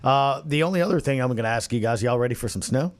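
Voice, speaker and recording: A man's voice: mid-range voice, animated, fast. A man hosting a casual sports roundtable, steering the discussion in a conversational way. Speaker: podcast host steering the discussion.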